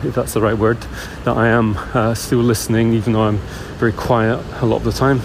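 A middle-aged man leaving a casual, halting voice message as a podcast caller, out in a city. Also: mid-range voice, little pitch movement. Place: outdoors in a city, on the way to work. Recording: loud street and traffic noise. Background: vehicles and urban noise.

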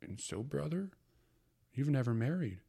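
A man's voice: mid-range voice, sing-song, measured pace, creaky, dark tone, slightly rough, voice pushed up, exaggerated voice. A man voicing a fretful middle-aged mother who fusses and sighs over household troubles. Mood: gentle. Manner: fussing, sighing, emphatic.

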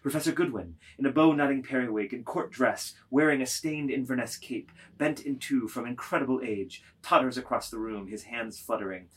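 A man narrating a literary work dramatically, deliberate and declamatory, phrase by phrase. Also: mid-range voice, fairly bright tone, slightly rough, low-quality recording.

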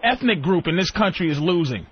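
A man's voice: on the high side, lively intonation, brisk, breathy edge. A man co-hosting a radio talk show, arguing bluntly and profanely with a caller. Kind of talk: heated radio argument. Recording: dull lo-fi radio broadcast.

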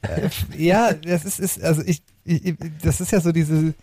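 A man chats casually as a podcast host in a mellow voice with plenty of fry. He often stammers over repeated words and phrases. The sound is dry and close. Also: a little high, fairly even intonation, moderate pace, dry recording. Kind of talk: casual chat about music.

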